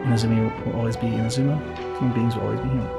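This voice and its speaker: A woman's voice: extremely low pitch, level tone, very fast, creaky, over loud music. A woman giving casual, off-the-cuff commentary as host of a game reaction video.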